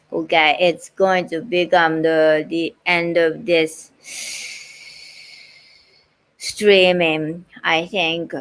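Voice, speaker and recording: Female livestream host, Japanese accent. Slightly low pitch, very unhurried delivery, reading aloud and casual chat. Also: clear, resonant tone, low-quality recording. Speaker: livestream host, voice-over artist.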